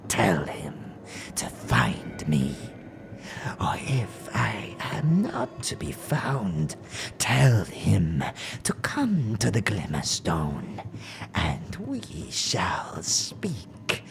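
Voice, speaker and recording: A man voicing an ancient, cruel hag in an audio drama, with a raspy hissing croak and archaic, inverted phrasing. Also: on the low side, huge pitch swings, full character voice. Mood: commanding, cryptic. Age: older. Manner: archaic inverted syntax, drawn-out menacing delivery. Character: ancient monstrous female night hag crone, cruel and cryptically amused.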